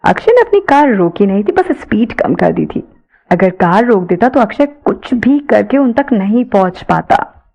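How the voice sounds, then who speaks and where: A woman's voice: mid-range voice, big pitch swings, moderate pace, vocal fry, a little mellow. A woman narrating an audio story in a dramatic, scripted style.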